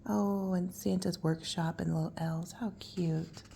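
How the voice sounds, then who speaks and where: A woman's voice: lowish voice, fairly even intonation, measured pace, gravelly, mellow, slight background noise. A woman reading a children's picture book at bedtime, adding folksy, cooing asides about the pictures. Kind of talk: bedtime read-aloud with casual asides.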